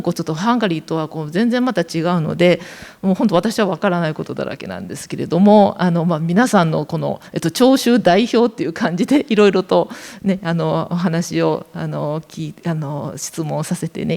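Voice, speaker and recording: A woman's voice: on the low side, gravelly, a little mellow. A woman moderating a literary festival panel, talking with many hesitations and fillers.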